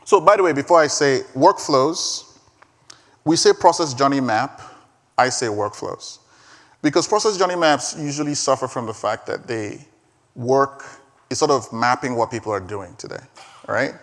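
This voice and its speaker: An energetic man whose first language is Igbo speaks on stage at a conference in an ordinary mid-range voice. He is emphatic and keeps doubling back on his words. His voice carries a long reverb from a large hall. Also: mid-range voice, moderate pace. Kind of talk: live keynote talk and Q&A.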